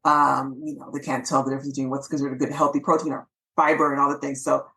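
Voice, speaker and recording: Woman doctor guest, chatty and quick, upbeat, from los angeles. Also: a bass voice, flat delivery, vocal fry, a bit bright, poor audio. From Los Angeles, USA. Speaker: physician and author guest. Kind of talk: casual interview chat.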